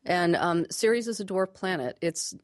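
A woman with a slightly low voice explains science as an interview guest. She is measured and clear in her wording, pausing mid-phrase now and then as she picks her terms. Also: expressive.

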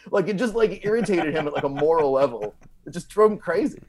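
A man telling comedic stories as a podcast guest, casual and animated, acting out quotes as he goes. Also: a little high, quick pace, rough recording. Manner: animated, acts out quotes with frequent like and you know.

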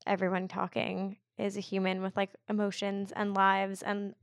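Guest: woman in her twenties, casual and chatty, loads of "like", mid pitch, dry close mic. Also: completely flat delivery, moderate pace, gravelly, clear, resonant tone, dry recording. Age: 20s. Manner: chatty, fills speech with "like". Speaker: podcast guest. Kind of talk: casual conversational interview.

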